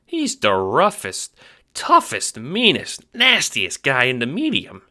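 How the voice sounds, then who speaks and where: A man voicing a cheerful, airheaded little minion creature in an audio drama, excitable, slangy and boyish. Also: mid-range voice, slow, voice pushed up, full character voice. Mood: cheerful boasting. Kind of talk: fan audio drama character acting.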